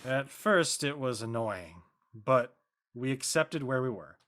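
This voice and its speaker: A man playing an easygoing middle-aged father in a drama reading, speaking in a measured, accepting way. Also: medium pitch, expressive, fairly bright tone. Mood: accepting, reflective.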